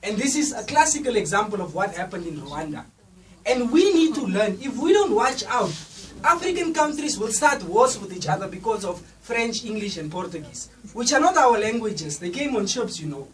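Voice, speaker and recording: A young man, a student, giving a loud, rousing speech at an event. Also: high-pitched, sing-song, moderate pace. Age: young adult. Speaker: student association speaker. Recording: distant mic, faint noise.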